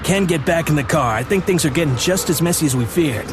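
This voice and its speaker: No-nonsense police commissioner, voiced by a man: slightly high, curt, exasperated, over loud music. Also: lively intonation, fast talker, lightly acted. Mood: irritated, commanding. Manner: blunt, cursing, no-nonsense. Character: gruff, exasperated male police commissioner, former pilot. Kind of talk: audio drama acting.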